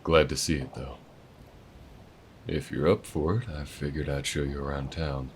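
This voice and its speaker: A man's voice: rumbling low, steady tone, moderate pace, slight background noise. A man voicing a friendly, easygoing male alien who shows the listener around town in an audio roleplay, talking in a folksy, laid-back drawl.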